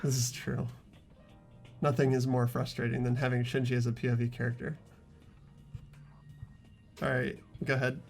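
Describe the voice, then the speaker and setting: A young man with a mid-range voice and audible vocal fry hosts a game playthrough. He reads narration aloud and drops into casual commentary with friends. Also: medium pitch, little pitch movement, moderate pace, long pauses, faint music behind. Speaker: host of a group game playthrough.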